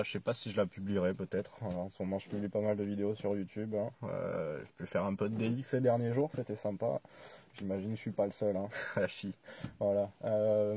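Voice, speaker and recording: A man chats casually as a CB operator. His voice sits a little low and has a soft, dark tone. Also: husky. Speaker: CB radio operator filming a video. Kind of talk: casual CB radio chat.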